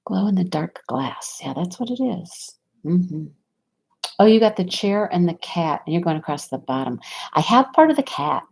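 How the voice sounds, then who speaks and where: A woman's voice: lowish voice, sing-song, moderate pace, creaky, darkish tone. Chatty woman with a stuffy nose. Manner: rambling, chatty, laughs often. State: stuffy nose from a sinus infection. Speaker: diamond painting livestream host. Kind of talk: casual chat while crafting.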